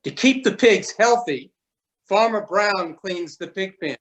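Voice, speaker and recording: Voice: medium pitch, expressive, unhurried. A man narrating a children's storybook as a readers theatre performer. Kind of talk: storybook reading.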